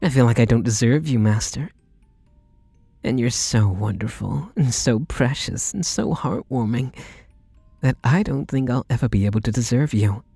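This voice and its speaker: A man's voice: mid-range voice, moderate pace, gravelly, dark tone, husky, dry recording, faint music behind. A man playing an eager, submissive, lovestruck butler who gushes earnestly and pleadingly in an intimate ASMR roleplay. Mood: adoring, guilty.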